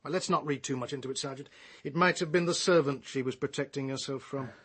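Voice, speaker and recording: An actor playing a shrewd, wry, middle-aged British police detective in a radio drama, with an urbane, wordy manner. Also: male voice, medium pitch, fast, a bit bright, slightly rough. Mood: wry, probing.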